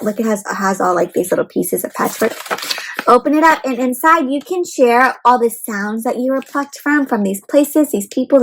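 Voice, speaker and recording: High-voiced woman reading aloud to children. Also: a little hiss or hum, rough recording. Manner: expressive, addresses child listeners with questions and simple explanations. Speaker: children's read-aloud host and teacher. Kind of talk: interactive picture book read-aloud for young children.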